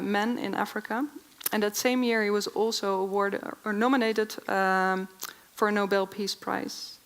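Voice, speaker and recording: Woman mc on stage reading out a speaker intro from notes, mid pitch voice. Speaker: event host introducing a speaker. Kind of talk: prepared introduction read from notes. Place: theatre stage at a podium.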